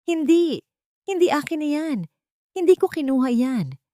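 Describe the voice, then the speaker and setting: A woman's voice: high-pitched, expressive, measured pace, dark tone, no echo, pitched above the performer's own voice. Woman doing a scared young housemaid, pleading, desperate, close dry audiobook voice. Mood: frantic.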